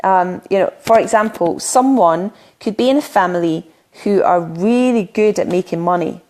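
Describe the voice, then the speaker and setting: A middle-aged woman from the UK, a spiritual coach on a solo live stream, talking earnestly with many ums and restarts, her voice a little croaky from talking a lot. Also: medium pitch, normal speed, darkish tone.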